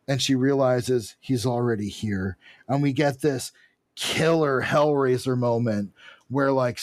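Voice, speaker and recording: A man's voice: mid-range voice, no room sound. Man, podcast co-host, casual recap chat, jokey and profane, dry close sound.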